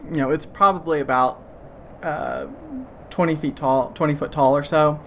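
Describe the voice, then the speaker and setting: Man narrating a game over clear background noise. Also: fairly high pitch, a bit bright. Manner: casual, halting, descriptive. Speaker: game master. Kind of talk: improvised tabletop game narration.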